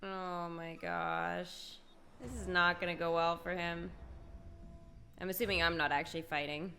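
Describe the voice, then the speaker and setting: A woman's voice: lowish voice, level tone, a little slow, vocal fry, sharp tone, slight background noise. A woman game streamer giving casual live reaction commentary as she plays.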